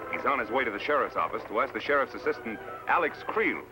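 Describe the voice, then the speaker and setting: A man's voice: medium pitch, lively intonation, fast talker, over music, close to an everyday voice, low-quality recording. A man narrating an old-time radio western in a formal, declamatory, dramatic scripted style. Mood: dramatic, suspenseful. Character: dramatic storyteller announcer of a western serial. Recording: old radio broadcast recording.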